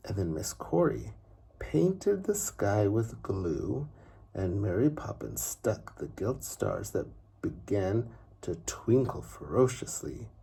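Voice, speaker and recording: Man, low husky voice, animated storybook narration, dramatic pauses, very expressive pitch. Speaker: father reading a bedtime story.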